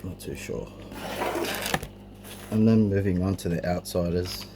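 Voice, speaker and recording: A man's voice: low-pitched, fairly even intonation, a little slow. A middle-aged man giving a casual, unscripted show-and-tell of his collection, a little hesitant, on an amateur camera recording. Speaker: collector presenting a cassette collection. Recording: clearly noisy amateur home recording.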